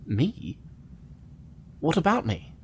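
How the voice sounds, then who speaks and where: An eager young engineering lieutenant, played by a man answers his captain readily. His voice is lively and rises and falls a lot. A faint hiss sits under the recording. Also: a little high, takes it slowly, exaggerated voice. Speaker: engineering officer. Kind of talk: fan audio drama acting.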